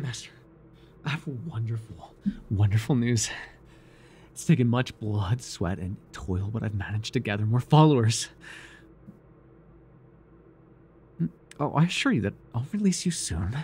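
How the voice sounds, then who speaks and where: A man playing a devoted, obsessive cult servant and captor in an intimate ASMR roleplay, speaking reverently and haltingly, with sighs and stammers. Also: medium pitch, big pitch swings, darkish tone, slight background noise. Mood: reverent, excited.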